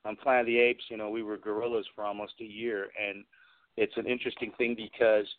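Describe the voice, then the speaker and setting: A man's voice: fairly low pitch, little pitch movement, fast. Man on a tinny phone line, telling anecdotes. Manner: enthusiastic, rambling storyteller. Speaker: guest, special effects artist and creature performer. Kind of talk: conversational anecdote telling in an interview. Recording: tinny phone-like radio call.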